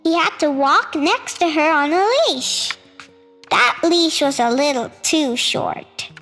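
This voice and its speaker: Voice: high-pitched, slow pace, bright, forceful tone. A young child reading a children's storybook aloud as a storytime host. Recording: reverberant hall sound with music. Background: music.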